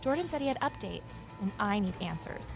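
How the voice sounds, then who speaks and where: A woman's voice: medium pitch, big pitch swings, a little fast, gravelly, over music. A woman playing an earnest, stubborn young amateur sleuth in an audio drama, narrating in a natural, conversational, wry way. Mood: reflective. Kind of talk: first-person audio drama narration and dialogue.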